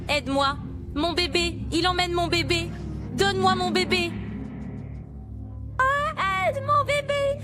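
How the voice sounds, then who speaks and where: A woman voicing a young wife in film drama, panicked and begging, her very high voice cracking into sobs. She drags out her pleas slowly. A loud music score plays underneath. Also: heavily acted. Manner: pleading, sobbing cries. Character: young wife frantic over her lost baby. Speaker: distraught wife.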